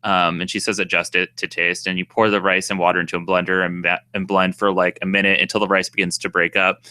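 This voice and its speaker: Rambling young man with a bright voice. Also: sits low, level tone, quick pace, clear, resonant tone. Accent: New England. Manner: rambling, many fillers and restarts. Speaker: podcast co-host. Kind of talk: casual chat.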